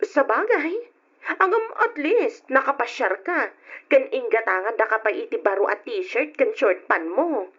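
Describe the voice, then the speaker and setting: Gossipy, teasing young Ilocano cousin, high voice, muffled radio drama recording. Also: female voice, expressive, unhurried, a bit bright, breathy edge, heavily acted. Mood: nosy, friendly. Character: chatty, nosy, teasing young female cousin.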